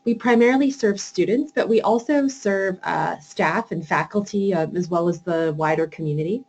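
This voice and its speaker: Young woman coordinator talking thru a webinar, lowish voice, lots of ums. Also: moderate pace, a little mellow, husky, highs cut off. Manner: hesitant, many fillers. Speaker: program coordinator. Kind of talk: informational webinar talk.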